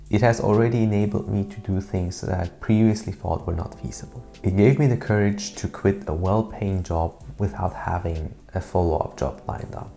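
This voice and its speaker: A man's voice: low-pitched, creaky, mellow. A man in his thirties from Switzerland narrating his own vlog in an earnest, matter-of-fact way with dry asides. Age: 30s. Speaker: YouTuber. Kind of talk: scripted personal vlog narration.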